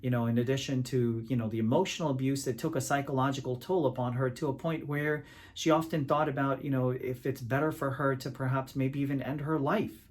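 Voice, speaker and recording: A man from Pakistan, an immigration attorney, explaining legal protections to camera in a measured, deliberate way with frequent filler sounds. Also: medium pitch, steady tone.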